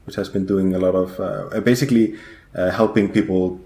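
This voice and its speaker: A man's voice: sits low, steady tone, a little fast, vocal fry, warm tone, echoey room. A man from Iceland, a guest programmer chatting in a podcast interview, rambling with lots of fillers. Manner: rambling, hesitant with many fillers.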